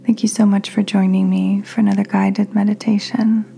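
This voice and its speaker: A woman podcast host leading a guided meditation in a gentle, soothing, breathy near-whisper. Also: mid-range voice, flat delivery, normal speed, slight background noise. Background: soft music.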